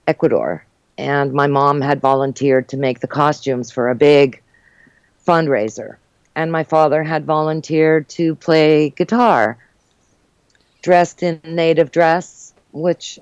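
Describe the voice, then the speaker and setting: A woman's voice: deep voice, no echo, lo-fi sound. An older woman, born in Uruguay and raised in New Jersey and New York, chatting as an interview guest and telling her story with lots of fillers. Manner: chatty, warm storytelling with frequent fillers and laughs. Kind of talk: casual interview chat.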